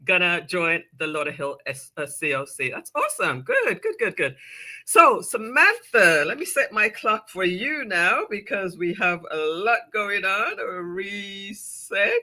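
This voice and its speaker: Lively, bright-voiced woman TV host. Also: mid-range voice, measured pace. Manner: chatty, laughing, welcoming. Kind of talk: local TV interview chat.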